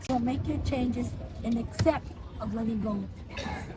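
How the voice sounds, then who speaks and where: A woman's voice: a little high, moderate pace, very noisy. A woman in her fifties working as a psychic, giving readings in short, declarative statements outdoors. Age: 50s. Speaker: psychic card reader. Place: outdoors in a public square.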